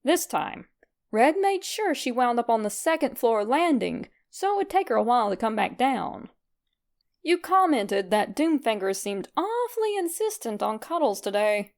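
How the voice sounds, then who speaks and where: A woman reading fan fiction aloud as an expressive, scripted story narration. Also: fairly high pitch, moderate pace, creaky.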